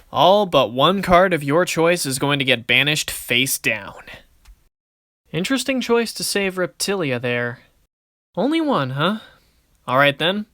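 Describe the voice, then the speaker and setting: Man acting a cocky anime card duelist, bright and forceful. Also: on the high side, dry recording, clean studio sound, exaggerated voice. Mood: smug, triumphant. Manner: theatrical, declamatory, smug. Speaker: voice actor in a fan dueling series. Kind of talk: anime-style dramatic battle dialogue.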